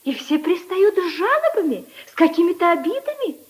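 A coquettish society lady in 1950s radio drama style. Her voice sits extremely high and moves in lively swoops. She gushes and sighs over her charity duties with theatrical emphasis. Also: fairly quick. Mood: coquettish complaining. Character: flirtatious, gushing society lady fussing over charity work.

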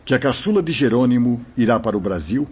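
An actor playing a solemn, benevolent spirit brother telling a life story in a radio drama, with a raspy voice. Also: male voice, medium pitch, expressive, fast talker, a little mellow. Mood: narrating. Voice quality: husky, raspy. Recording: dull lo-fi radio drama recording.

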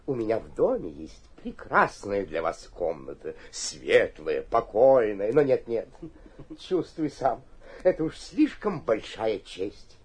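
An actor playing an obsequious, cunning provincial mayor in a theatrical radio play, flattering and slyly suspicious, with a husky voice. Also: male voice, lowish voice, takes it slowly, heavily acted. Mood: gushing, humble. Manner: fawning flattery with muttered suspicious asides. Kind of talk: theatrical radio drama acting.

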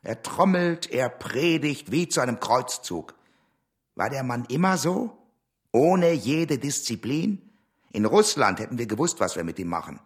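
An actor playing a stern, disciplined revolutionary in a radio drama, terse and disapproving, with a husky voice. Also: male voice, medium pitch, sing-song, measured pace, exaggerated voice. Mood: exasperated, scornful. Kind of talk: German radio drama acting.

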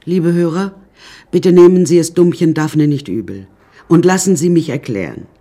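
A woman's voice: deep voice, normal speed, dark tone. An actress playing a vain, self-dramatizing woman artist who pompously narrates her life to listeners in a radio play. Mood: self-admiring.

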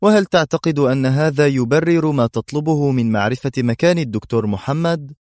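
A cocky male secret agent, as a man narrator plays him, in an audio spy story. He is sarcastic and taunting, with a raised pitch and a very dry sound. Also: brisk, a little mellow, no echo, close to an everyday voice.

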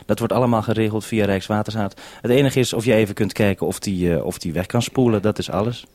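A male radio interviewer with a slightly low voice in a dry, echo-free sound. He talks informally and off the cuff, explaining things and putting questions to his guest. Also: fast talker, a little mellow. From Netherlands. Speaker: radio programme maker, interviewer. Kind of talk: unscripted interview over radio link.

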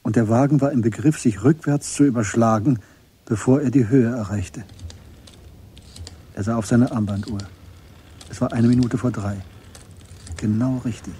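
A man narrating a radio crime drama in a measured, matter-of-fact way as its storyteller. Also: mid-range voice, steady tone, warm tone, a little hiss or hum, close to an everyday voice.

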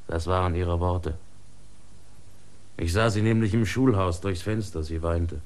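A man's voice: lowish voice, lightly acted. A man as a smug taunting rival assistant. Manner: cool, taunting, self-satisfied. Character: smug young male assistant, rival in love. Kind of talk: German radio drama acting.

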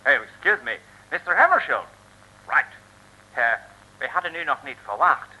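Officious foreign sentry, swooping wildly. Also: male voice, a little high, very animated, sharp tone, husky, heavily acted. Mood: deferential, flustered. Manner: halting, formal, mixing English into Dutch. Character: excitable, officious foreign military sentry barking orders. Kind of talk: 1950s-60s comic radio serial acting. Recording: dull, lo-fi vintage radio recording.

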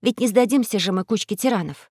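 Woman reading as a quiet, sensible girl. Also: mid-range voice, quick pace, husky, no echo, clean studio sound, close to an everyday voice. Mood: thoughtful, practical. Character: sensible, brave young girl, practical and composed.

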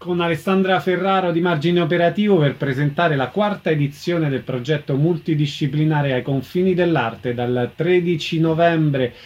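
Higher-voiced man hosting radio, dull sound. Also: moderate pace, hardly any pauses, darkish tone, faint music behind. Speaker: radio host. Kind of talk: radio presenting and interviewing.

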